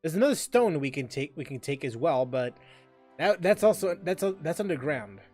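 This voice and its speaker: Animated higher-pitched man doing game commentary. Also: normal speed, faint music behind. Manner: thinks aloud, repeating himself. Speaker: game streamer. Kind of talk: casual gameplay commentary.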